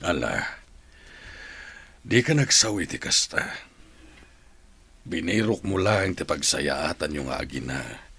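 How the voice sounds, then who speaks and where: A man's voice: a bass voice, expressive, slow, creaky, pauses often, pushed and bright, slightly rough, no echo, exaggerated voice. A man with a Filipino accent playing a supportive, reassuring companion of a troubled mother in a radio drama. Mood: consoling.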